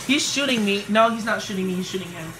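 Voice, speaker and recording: A man's voice: sits high, quick pace, bright, forceful tone, slight background noise, poor audio. A young man chatting casually into his phone camera for a vlog, mumbling and trailing off, tired. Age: young adult. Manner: mumbly, trailing off, lots of ums. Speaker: vlogger. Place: car, mall, home. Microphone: phone at arm's length.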